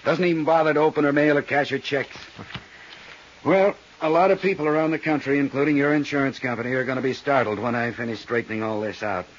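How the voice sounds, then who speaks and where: A man playing a big, easygoing American accountant in his late forties in a 1950s radio drama, husky and chatty, with a folksy, rambling manner. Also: medium pitch, fast talker, slight background noise, natural voice. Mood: chatty, explaining. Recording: dull, muffled 1950s radio recording.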